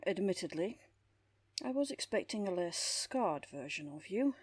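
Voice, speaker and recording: A woman playing a composed, wry underworld therapist with a husky voice and measured, formal delivery in an audio drama. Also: medium pitch, expressive, no echo. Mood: dry amusement. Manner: measured, dry, formal wording. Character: composed, wry female underworld therapist who makes people disappear. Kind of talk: audio roleplay drama acting.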